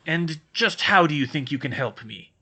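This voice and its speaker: A man's voice: on the high side, animated, normal speed, pushed and bright, husky, lo-fi sound, exaggerated voice. A man voicing a sour, suspicious old male caretaker with a wheezy voice who snaps and stammers when flustered. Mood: hostile.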